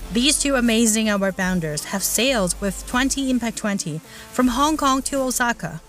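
A woman's voice: fairly high pitch, a bit bright. A woman hosting a podcast, upbeat and encouraging, moving from a scripted intro into casual interview questions. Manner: upbeat, encouraging, many backchannels. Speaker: podcast host.

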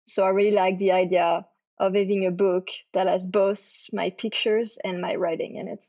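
Woman with a French accent, gravelly. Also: mid-range voice, muffled recording. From France. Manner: relaxed, halting with fillers. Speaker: guest author. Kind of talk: casual interview answers.

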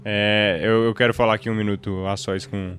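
A man's voice: on the low side, flat delivery, fairly quick, noisy background, natural voice. A man playing a police detective in a tabletop game, pressing with insistent questions and clarifying every detail. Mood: businesslike, probing. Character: probing male police detective leading an interrogation.

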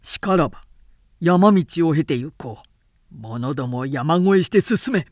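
A cunning, proud warlord of an old historical tale, acted out by a woman narrator. The speech is archaic and commanding, declaimed very slowly. The sound is dry, as in a storybook reading. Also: medium pitch, lively intonation, darkish tone, dry recording, close to an everyday voice. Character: Cao Cao, proud cunning warlord, man, mocking.

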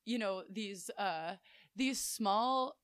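A woman's voice: mid-range voice, extremely slow, fairly bright tone, clear, resonant tone, no echo. A young woman on a casual podcast, her mid-range voice recorded dry and close. She thinks aloud, piling up fillers and restarts. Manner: thoughtful, rambling with restarts and fillers.

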